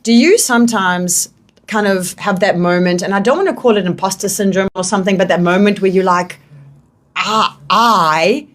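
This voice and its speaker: A woman's voice: medium pitch, moderate pace. Woman hosting casual radio. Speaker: radio host, interviewer. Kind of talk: casual live radio interview. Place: radio studio.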